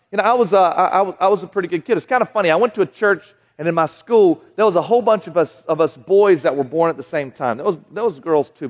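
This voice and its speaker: A Southern American man in his forties preaches in a folksy, conversational way, stopping and restarting his phrases and talking right to the crowd. His voice sits a little high for a man. A long reverb from a large hall trails behind him. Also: muffled recording. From Southern United States. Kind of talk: sermon to a live audience.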